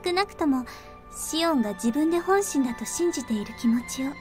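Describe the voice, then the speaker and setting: An actress voicing a gentle, kindly young woman in a Japanese visual novel, speaking earnestly and reassuringly. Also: high-pitched, lively intonation, rapid-fire, faint music behind.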